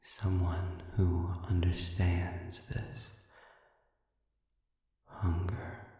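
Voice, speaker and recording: A man playing a sadistic, manipulative demon in an intimate audio roleplay, murmuring smoothly with false humility, deliberate and breathy with long pauses. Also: low voice, monotone, dark tone, recorded from a distance. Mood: measured, feigned contrition. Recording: cavernous reverb, voice set back.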